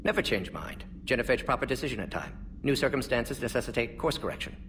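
An actor voicing a brisk, fussy old male alien scientist in a video game, speaking in clipped, clinical fragments. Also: mid-range voice, expressive, a bit bright, recorded from a distance, a little hiss or hum, lightly acted. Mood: earnest, clipped. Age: older. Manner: clipped, staccato, drops small words, clinical.

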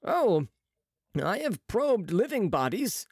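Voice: mid-range voice, sing-song, slow, husky, no echo, clean studio sound, voice pushed up, exaggerated voice. A reader voicing a proud, sneering young male surgeon who talks loftily in an audiobook. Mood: lofty. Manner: boastful, lofty, sharp retorts. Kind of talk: audiobook character reading.

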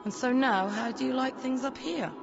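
A woman's voice: high-pitched, moderate pace, vocal fry. A woman acting in an audio drama as a blunt, sardonic afterlife patrol officer with sarcastic, pointed questions. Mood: probing. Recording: dull lo-fi audio drama recording. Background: background music.

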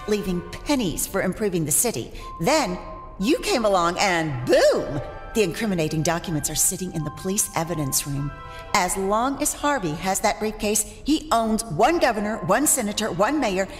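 A woman's voice: medium pitch, close to an everyday voice. A woman playing a cool, self-assured cat burglar in an audio drama, wry and teasing. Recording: reverberant room, faint music.

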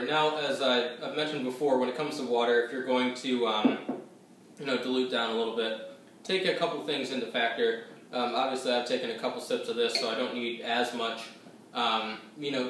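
A man's voice: medium pitch, fairly bright tone, a little hiss or hum. Young man rambling through a whiskey tasting. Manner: rambling, hesitant, many fillers. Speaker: whiskey reviewer. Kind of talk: casual tasting review to camera. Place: small room at home, seated at a table. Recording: echoey room, voice a bit distant.

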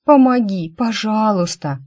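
A woman's voice: mid-range voice, lively intonation, measured pace, darkish tone, voice pushed up. A woman voicing a cheerful, pleading twenty-year-old sister in an audiobook, direct and wheedling.

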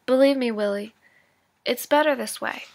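A woman's voice: a little high, normal speed, gravelly. A woman reading as a blunt country doctor. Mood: firm.

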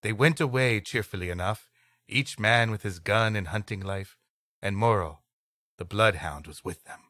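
A man's voice: fairly low pitch, normal speed, bright, forceful tone, no echo, studio quality. A man co-hosting a comedy podcast, moving between reading a story aloud and casual, joking banter.

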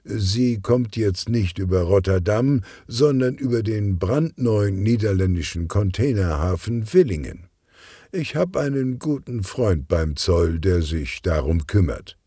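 A man's voice: fairly low pitch, animated, unhurried, warm tone, breathy edge, dry recording, studio quality. A man voicing an efficient, eager female business partner of a gangster in an audiobook crime story. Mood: businesslike. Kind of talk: audiobook character dialogue.

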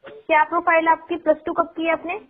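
A woman's voice: very high-pitched, completely flat delivery, a little fast. A young woman on a thin phone line, working as a call centre agent and answering politely but firmly. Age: young adult. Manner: polite, firm. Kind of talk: customer service phone call.

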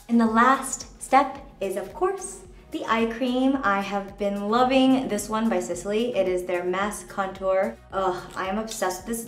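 Brief: woman in her twenties, bubbly chatty vlogger, mid pitch, roomy bathroom echo, soft music behind. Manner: bubbly, chatty, lots of "like" and "just". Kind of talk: casual skincare tutorial talk. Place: hotel bathroom at the mirror. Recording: echoey bathroom, faint music.

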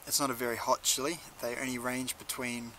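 A man's voice: mid-range voice, level tone, brisk, sharp tone, a little hiss or hum, low-quality recording. A young man giving a casual, laid-back review to camera in a conversational way. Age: young adult. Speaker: chilli reviewer, video host. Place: outdoors in a leafy garden.

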